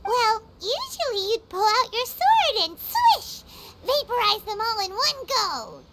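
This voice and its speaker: A woman voicing a small, chatty fairy companion in a video game, with a squeaky, childlike voice and chirpy, excitable delivery. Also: slow pace, heavy background noise, heavily acted. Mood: excited. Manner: chirpy, exclamatory.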